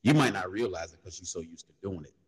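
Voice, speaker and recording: A man talks in an easy, laid-back way and tells street stories. His voice sits low and a little husky. A long reverb trails behind every phrase. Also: lively intonation, quick pace. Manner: laid-back storytelling, slips into loud high mocking voices when quoting others. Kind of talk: casual panel discussion.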